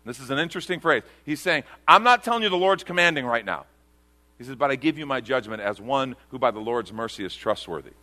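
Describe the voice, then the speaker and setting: A man's voice: medium pitch, lively intonation, fast, sharp tone. A man pastor preaching a sermon to a congregation, earnest and repeating key phrases for emphasis.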